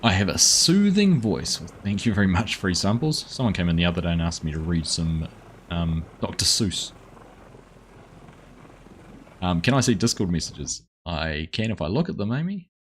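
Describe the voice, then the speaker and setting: A man's voice: sits low, expressive, a little fast, a little hiss or hum. A man chatting casually while live-streaming a farming game, thanking viewers and answering their messages.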